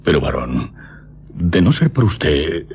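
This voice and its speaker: A man's voice: a bass voice, big pitch swings, slow, a little mellow, slight background noise, heavily acted. An actor playing an awed, grateful man in a radio drama. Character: grateful, horrified listener questioning a baron.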